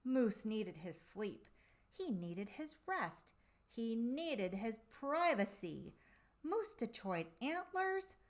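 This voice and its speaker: Female children's storybook reader. Unhurried, very melodic delivery, theatrical emphasis, dramatic pauses. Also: fairly high pitch, very animated. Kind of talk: expressive read-aloud of a children's picture book.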